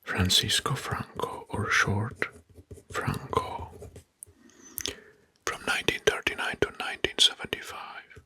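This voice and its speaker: A man narrating history as ASMR in a breathy, hushed whisper, slowly and deliberately, softly repeating words. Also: lowish voice.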